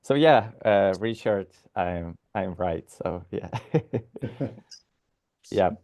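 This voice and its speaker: Slow, hesitant man hosting a call. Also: low voice, lively intonation, darkish tone. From Ukraine. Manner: hesitant, many "uh" and restarts. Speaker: call moderator. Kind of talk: casual moderating of a video call.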